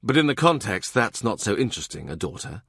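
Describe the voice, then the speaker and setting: A man narrating a historical novel audiobook in a measured, literary manner. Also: lowish voice, big pitch swings, a bit bright, husky, no room sound, studio quality.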